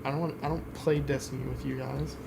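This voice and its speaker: A man's voice: mid-range voice, fairly even intonation, fast talker. A man co-hosting a podcast, chatting and joking casually with friends. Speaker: podcast co-host. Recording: clear background noise.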